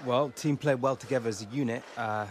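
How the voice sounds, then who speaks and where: A man with a British accent playing a modest, polite young footballer giving a measured post-match interview in a game. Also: medium pitch, fairly even intonation, lightly acted. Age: young adult. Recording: clear background ambience noise.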